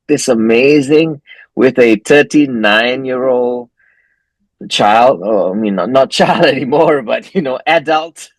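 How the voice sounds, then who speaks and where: A man's voice: mid-range voice, no room sound, low-quality recording. A man hosting an interview show over a video call, agreeing often and laughing along. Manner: warm agreeing backchannels, laughs. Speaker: podcast host.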